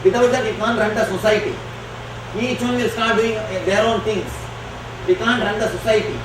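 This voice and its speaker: A man whose first language is Tamil, answering audience questions as a spiritual teacher, speaking slowly and emphatically. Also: sits high, fairly even intonation. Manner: slow, emphatic and declarative. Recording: echoey hall from far off, noisy.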